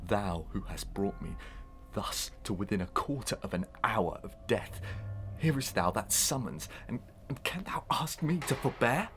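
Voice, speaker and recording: Bitter menacing villain, deep register, deliberate pace, archaic theatrical delivery, audio drama. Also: male voice, lively intonation, a little hiss or hum. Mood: bitter, accusing. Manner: declamatory, archaic, dramatic speech. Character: bitter condemned husband, menacing and melodramatic villain. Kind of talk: Victorian melodrama audio acting.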